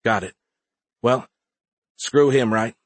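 A man voicing a brisk, plain-spoken woman military commander in an audiobook. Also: medium pitch, dry recording. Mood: curious, defiant. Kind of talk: audiobook character voice.

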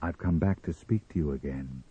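A man voicing a cold, composed Martian for an audiobook story, speaking in a measured, formal manner. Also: very deep voice, slightly rough, phone-quality sound, full character voice. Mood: calm, grave. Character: calm, cold Martian in blue glass mask. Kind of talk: dramatised audiobook character reading.

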